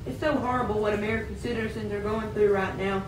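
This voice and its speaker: A woman's voice: medium pitch, steady tone, fast, natural voice. A woman playing an earnest old-time American man in an amateur puppet show. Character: earnest 19th-century American man, farmer or reformer. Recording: echoey room, distant mic, clear noise.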